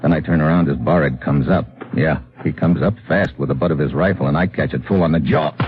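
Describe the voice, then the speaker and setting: A hard-boiled American adventurer from 1950s radio, very deep and deadpan, in clipped, wry phrases. The old recording is muffled, with music clearly behind him. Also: male voice, brisk. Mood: tense, clipped. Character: tough, wry American male adventurer hero narrating his mission.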